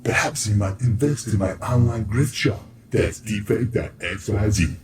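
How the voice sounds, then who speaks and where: A man playing an excitable, starstruck grifter in a radio play, exclaiming in an exaggerated way. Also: fairly low pitch, moderate pace, natural voice. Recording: echoey room, faint noise.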